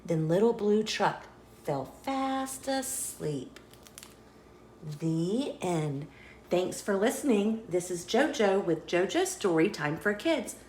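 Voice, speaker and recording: A woman reading a children's picture book aloud as an expressive, measured storyteller. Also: medium pitch, a little hiss or hum. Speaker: storyteller, host of a children's read-along show. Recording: roomy echo.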